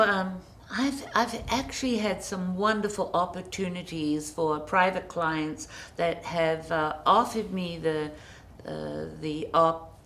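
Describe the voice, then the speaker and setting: A middle-aged woman, a design guest on a TV studio interview, speaking graciously with effusive, drawn-out emphasis. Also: fairly low pitch, fairly bright tone, slight background noise. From New Zealand. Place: TV studio set.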